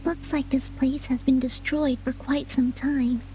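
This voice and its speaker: Very high, squeaky little creature sidekick. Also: female voice, little pitch movement, measured pace. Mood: curious. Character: small, cute flying female creature companion, worried and curious. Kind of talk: video game character acting.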